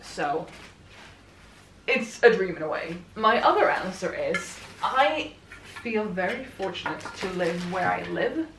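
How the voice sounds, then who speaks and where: A woman's voice: fairly low pitch, expressive, measured pace, fairly bright tone, a little hiss or hum. A woman in her twenties from Sweden, speaking English with a Swedish accent, chatting casually and laughing easily as she talks. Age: 20s. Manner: chatty, rambling, laughs often. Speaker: book vlogger. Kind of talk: casual chat while assembling furniture. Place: room at home. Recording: echoey room, camera at some distance.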